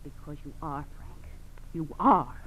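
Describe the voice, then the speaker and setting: An actress playing a domineering, scheming American criminal wife in 1940s radio crime drama, bossy, coaxing and belittling. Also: female voice, deep voice, a little slow, fairly bright tone, breathy edge, exaggerated voice. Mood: forceful goading. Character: domineering, scheming criminal wife goading her frightened husband. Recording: dull old radio recording with hiss.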